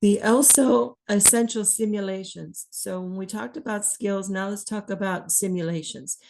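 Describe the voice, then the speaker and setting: A woman teaching clinicians about priming and running a circuit, slightly hoarse as she is losing her voice, in a measured, explanatory way. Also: medium pitch, steady tone, darkish tone, slight background noise. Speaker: ECMO educator. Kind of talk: instructional talk.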